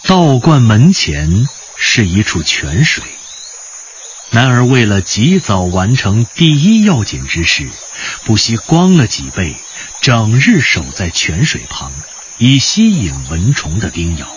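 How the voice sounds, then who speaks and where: A man's voice: lowish voice, huge pitch swings, slow pace, lo-fi sound, a little hiss or hum. A man narrating a martial-arts radio drama in a dramatic storytelling style. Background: music.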